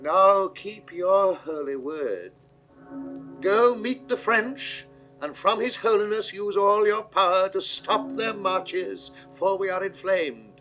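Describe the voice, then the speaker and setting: A man's voice: high voice, slow pace, pushed and bright, soft background music, full character voice, low-quality recording. An actor playing a proud, anxious, imperious king in grand, declamatory 1960s Shakespearean style. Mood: imperious, urgent. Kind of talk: 1960s classical Shakespearean recorded acting.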